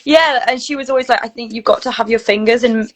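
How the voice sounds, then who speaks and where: A woman's voice: on the high side, quick pace. A British woman chatting informally and emphatically as a guest in an interview. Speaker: guest, voiceover actor and voice reel studio founder. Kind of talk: casual interview chat.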